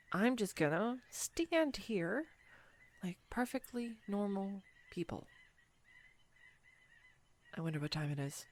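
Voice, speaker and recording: A woman's voice: a little high, no room sound. A woman playing a clumsy, nervous young woman on a first date in an audio roleplay, rambling and self-deprecating. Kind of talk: intimate audio roleplay monologue.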